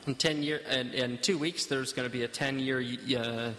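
A man interpreting a sermon live, halting often with fillers as he searches for words. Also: mid-range voice, steady tone, bright, forceful tone, big-room sound, slight background noise. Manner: hesitant, halting, many fillers.

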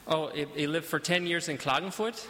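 Bright-voiced hesitant male interpreter. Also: on the high side, long reverb tail. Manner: hesitant, halting, many fillers. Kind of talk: live sermon interpreting.

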